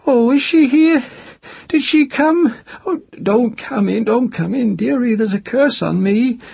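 A man's voice: extremely high pitch, vocal fry, a little mellow, breathy edge, no echo, voice pushed up, full character voice. A man voicing a frightened old cursed witch woman who pleads and weeps in a quavering voice. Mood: frightened pleading.

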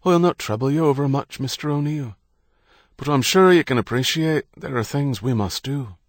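A man voicing a polite, formal policeman with a mellow tenor. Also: medium pitch, normal speed, dry recording, clean studio sound, voice pushed up, lightly acted. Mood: polite, official.

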